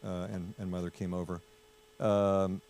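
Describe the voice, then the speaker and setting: A man's voice: sits low, little pitch movement, a little slow, a little mellow, a little hiss or hum. A man hosting a casual political discussion, chatty and full of fillers, steering with questions. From United States. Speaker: host.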